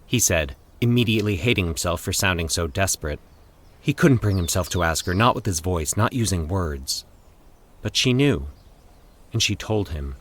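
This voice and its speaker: Low-pitched man narrating scripted horror fiction. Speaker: podcast host and story narrator.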